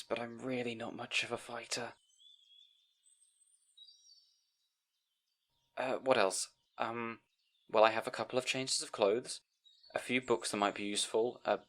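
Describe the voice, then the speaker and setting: A man's voice: medium pitch, a bit bright, close to an everyday voice. A man playing a timid, bookish young scribe in a fantasy audio roleplay, hesitant and apologetic, rambling and stammering. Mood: awkward, unsure. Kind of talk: audio roleplay acting addressed to the listener.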